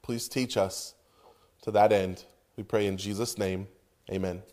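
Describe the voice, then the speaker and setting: A man's voice: lowish voice, fairly even intonation, darkish tone. Earnest man preaching a sermon. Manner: earnest, emphatic preaching with pauses. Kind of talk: sermon with prayer.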